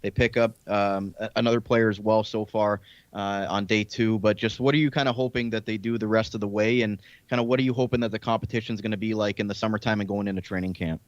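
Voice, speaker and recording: A man's voice: on the low side, steady tone, fast talker, no room sound. A man hosting casual live sports draft coverage over slightly lo-fi audio. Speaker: sports podcast host.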